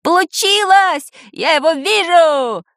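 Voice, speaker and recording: A woman voicing a spiteful, excitable teenage boy wizard from a fantasy storybook, yelling shrilly. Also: very high voice, slow pace, no room sound, studio quality, voice pushed up, exaggerated voice. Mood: excited.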